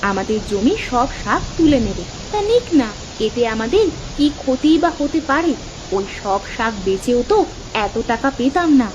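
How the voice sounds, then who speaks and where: A woman's voice: a little high, big pitch swings, normal speed. A woman voicing a carefree, reassuring village wife in a cartoon story. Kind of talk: cartoon moral-story voice acting. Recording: muffled, noisy with hiss.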